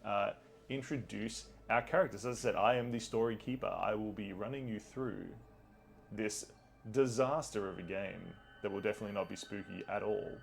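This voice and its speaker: A man's voice: moderate pace, soft background music. A man hosting a tabletop game as game master, chatting casually with a playfully theatrical manner. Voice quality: deep, gravelly.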